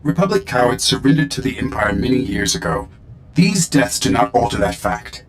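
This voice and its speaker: An echo surrounds this blunt military droid from a video game, played by a male actor. His clipped, formal speech swings widely in pitch and drips with contempt, over a faint noisy rumble. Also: animated, moderate pace, close to an everyday voice. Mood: contemptuous. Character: scornful, blunt surveillance droid with fierce loyalty. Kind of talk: scripted video game dialogue.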